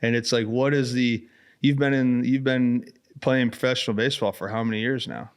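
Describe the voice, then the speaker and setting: A man's voice: mid-range voice, steady tone, a little fast, clean tone. An American man interviewing casually as a podcast host, informal and eagerly interested, often repeating his phrases.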